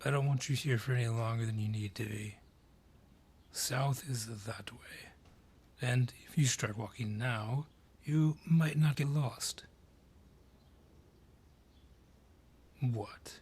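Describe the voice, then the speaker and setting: Man acting slow, husky ancient dryad. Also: medium pitch, darkish tone. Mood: brusque. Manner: deliberate, brusque, ominous storyteller. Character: ancient male dryad, gruff forest guardian named Al. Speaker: forest guardian and informant. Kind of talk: fantasy audio roleplay acting.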